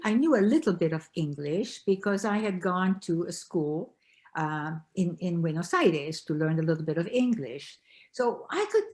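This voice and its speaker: An older woman from Belgium, an author being interviewed, telling her story in a reflective, halting way with many restarts. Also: mid-range voice.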